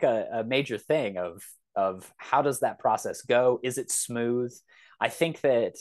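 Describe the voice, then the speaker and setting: A man in his thirties talking casually as a podcast guest, thinking aloud in a halting, thoughtful way. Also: mid-range voice, no room sound.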